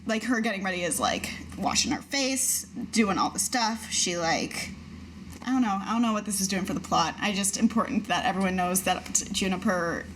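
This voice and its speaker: A woman talks casually as a player in a tabletop game. Her voice sits at a middle pitch. Faint noise can be heard behind her. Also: lively intonation, normal speed, a bit bright, poor audio. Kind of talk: casual chat.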